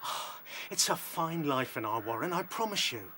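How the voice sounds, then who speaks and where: Radio drama hero rabbit: bold, assertive officer with a sharp, forceful edge and persuasive drive. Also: male voice, fairly high pitch, very animated, normal speed, a little hiss or hum. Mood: resolute, persuasive. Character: bold, determined male rabbit officer, rebel infiltrator.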